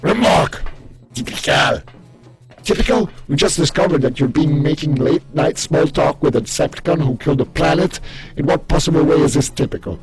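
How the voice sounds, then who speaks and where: A man performs an amateur comic dub in a theatrical, exclamatory way. His voice sits fairly high and swings widely, with a gravelly edge. Faint music plays underneath. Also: expressive, moderate pace. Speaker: amateur voice actor dubbing a comic. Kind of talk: hobby comic dub, acting out several robot characters. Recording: sound effects and faint music behind.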